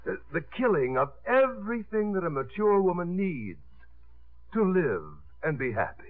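Middle-aged romantic lead, earnest, flustered, hugely varied intonation, phone-like old radio sound. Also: male voice, high voice, very animated, moderate pace. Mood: ardent. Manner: ardent, swooping, stammering when flustered. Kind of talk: 1950s radio comedy-drama acting. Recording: narrow-band 1950s radio recording.

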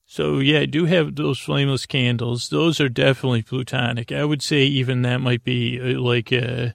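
Very gravelly, creaky rambling man. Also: medium pitch, flat delivery, no echo, clean studio sound. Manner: meandering, halting, full of self-interruptions and silly asides. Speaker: host of a sleep podcast. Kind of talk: rambling, whimsical bedtime monologue.

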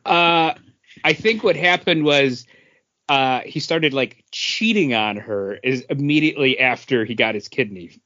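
A man's voice: medium pitch, a little slow, sharp tone, no echo. Man, podcast co-host, easygoing, laughs a lot, dry dull audio. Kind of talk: casual podcast chat.

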